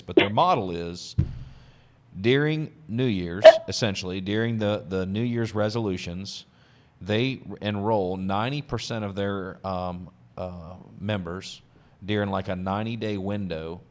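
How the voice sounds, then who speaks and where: A man with a fairly low voice hosts a casual business podcast. He talks emphatically, hammering points by repeating them. He speaks into a podcast microphone. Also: moderate pace. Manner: emphatic, repeats key phrases. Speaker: podcast host.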